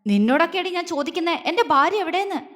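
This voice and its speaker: Audio-story role: desperate, demanding young husband, played by a woman, high-pitched, in long reverb. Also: animated, fast, a bit bright. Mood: furious. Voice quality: trembling, roaring when angry. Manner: stammering, urgent, demanding. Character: distraught young husband, furious, searching for missing wife. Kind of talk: dramatic audio-story dialogue.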